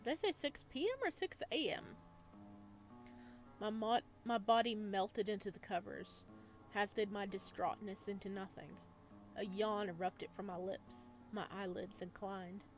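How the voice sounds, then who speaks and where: A woman's voice: mid-range voice, unhurried, faint music behind. A woman hosting a gaming video, reading a visual novel aloud and adding casual, slangy asides. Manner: informal, slangy, reacts in asides.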